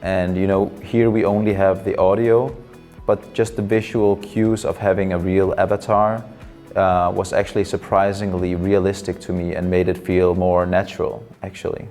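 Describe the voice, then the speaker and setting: A Danish man in his twenties, a psychology student and counselor, giving thoughtful interview answers in English as a second language, often pausing with fillers. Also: deep voice, flat delivery, a little mellow, faint music behind. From Denmark. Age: 20s.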